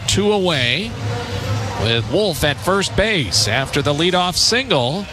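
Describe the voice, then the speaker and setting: A man doing live radio baseball play-by-play, unhurried between pitches and lifting his delivery when there is action. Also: very animated, music in the background. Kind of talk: live radio sports commentary. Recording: clearly noisy outdoor broadcast ambience.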